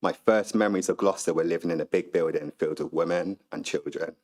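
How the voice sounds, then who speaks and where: Guy with a really low croaky voice, just getting over flu, slow formal speech in a council chamber. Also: darkish tone. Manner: slow, measured declaration. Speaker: newly elected mayor. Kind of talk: formal oath then speech.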